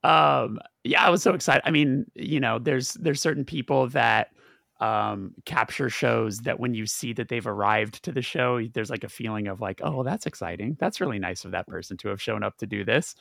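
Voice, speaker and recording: Male podcast host, gravelly, chatty and rambling, dry close mic. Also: mid-range voice, moderate pace, fairly bright tone, dry recording. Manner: chatty, loose, many fillers and restarts. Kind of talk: casual podcast interview.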